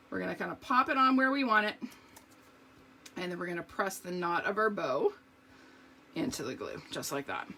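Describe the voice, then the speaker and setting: Woman, slightly hoarse, bright voice, chatty craft demonstrator, live how-to. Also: fairly high pitch. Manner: chatty, explains each step, talks to viewers. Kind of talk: live card-making demonstration.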